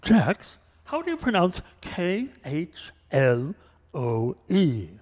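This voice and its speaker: A man's voice: high voice, wildly swooping pitch, slow, darkish tone, full character voice. A man playing a fussy, bewildered academic snob who asks pedantic, indignant questions in a radio comedy. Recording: reverberant hall recording.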